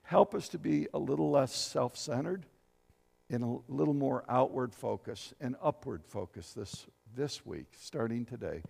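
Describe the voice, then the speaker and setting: Gravelly seventy-something pastor in a reverberant hall. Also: male voice, mid-range voice, lively intonation, measured pace, a little mellow. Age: 70s. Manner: reads scripture aloud, asks the congregation questions. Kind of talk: sermon. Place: church sanctuary.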